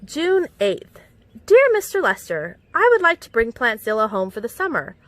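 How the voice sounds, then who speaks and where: A woman reading a children's picture book aloud in an expressive, animated storytelling manner with clear enunciation. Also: on the high side, moderate pace, dry recording. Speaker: storytime reader. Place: outdoors among plants.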